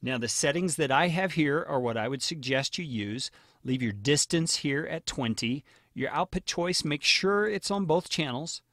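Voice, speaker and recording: A man narrating a software tutorial in a casual, plain, step-by-step way. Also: fairly high pitch, lively intonation, normal speed, no room sound, clean studio sound. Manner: plain, informal step-by-step explaining. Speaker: tutorial host.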